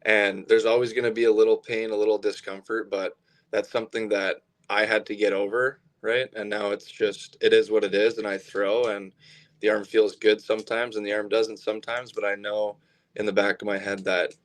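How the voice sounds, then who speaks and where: Low-voiced young man chatting candidly. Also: little pitch movement, fairly quick. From southern Alberta, Canada. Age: 20s. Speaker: baseball pitcher being interviewed. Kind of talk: casual interview chat.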